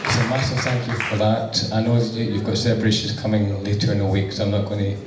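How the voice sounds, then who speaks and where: A man with a husky voice giving an unprepared wedding thank-you speech as the groom, hesitant with many ums. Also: fairly low pitch, level tone, fast talker, heavy background noise. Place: wedding reception hall with guests. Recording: echoey hall, distant mic, loud crowd.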